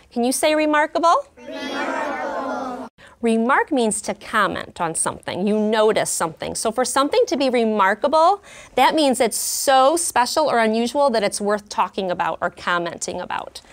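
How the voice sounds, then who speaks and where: A woman in her thirties, a teacher in a classroom, reading a story aloud with clear, expressive delivery and pausing to explain words. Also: on the high side. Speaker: kindergarten teacher. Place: elementary classroom.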